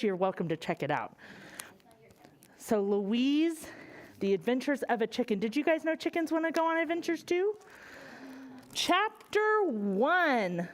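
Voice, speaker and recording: An American woman reading a picture book aloud to young children, expressively and with questions for them along the way. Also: sits high. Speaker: children's library storyteller.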